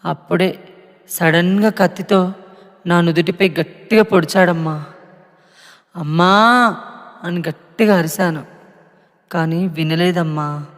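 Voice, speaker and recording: The spirit of a murdered young woman, voiced by a man, mourning and pleading with her family. The voice sits fairly high and the words come very slowly. A long reverb trails behind every phrase. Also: fairly even intonation. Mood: anguished, pleading. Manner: pleading, sorrowful address to family. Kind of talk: emotional monologue.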